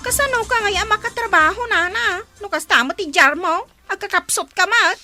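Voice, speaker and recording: An actress with an Ilocano Filipino accent playing an impatient, bossy, sharp-tongued village woman in a radio comedy. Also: very high voice, normal speed, over music. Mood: reproving. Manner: nagging, exasperated. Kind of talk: comedy radio drama acting.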